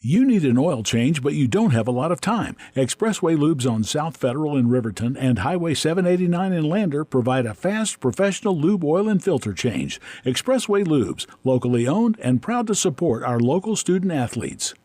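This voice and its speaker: A man announcer reading scripted local radio ads in a clear, steady delivery. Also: fairly high pitch, moderate pace, few pauses, no echo.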